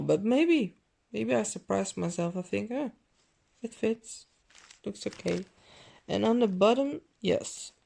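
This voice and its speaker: Vlogger woman, gravelly fry, dry throat, meandering chatter, close handheld camera in a living room. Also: medium pitch, mellow. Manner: rambling, self-correcting, non-native phrasing; deliberately overdone mixed accent. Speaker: fashion vlogger. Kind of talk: casual unboxing haul chat.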